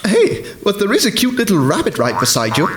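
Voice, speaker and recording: An actor playing a hapless, bumbling middle-aged husband and inventor in a comic audio drama, hesitant, recorded in a roomy space. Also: male voice, high-pitched, wildly swooping pitch, a little fast, crowd noise. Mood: calling, concerned. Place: performed before a live audience. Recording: roomy stage sound with faint hiss.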